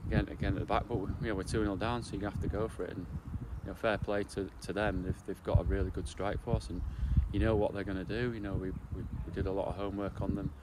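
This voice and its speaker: A man in his forties, coaching a football side, answers questions beside the pitch after a match. His voice sits low and flat, and he talks haltingly with lots of restarts and fillers. Clear outdoor noise hums behind him. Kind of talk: post-match interview.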